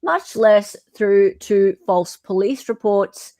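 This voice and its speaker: A woman's voice: mid-range voice, slow pace, mellow, dry recording. Wry woman, close dry sound. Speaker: co-host reading and commenting on a legal filing. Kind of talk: informal read-aloud with wry commentary.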